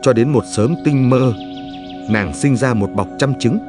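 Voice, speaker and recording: A man reading a scripted legend as a storyteller, in a solemn, measured manner. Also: mid-range voice, music in the background. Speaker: narrator.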